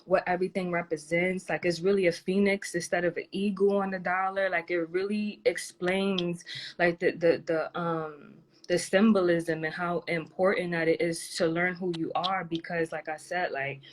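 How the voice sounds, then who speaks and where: A woman with a slightly low voice talks casually over a call, drifting and restarting her sentences with lots of fillers. A faint hiss sits under her voice. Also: flat delivery, moderate pace. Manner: rambling, many fillers and restarts. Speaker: guest on a live stream. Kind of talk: casual chat.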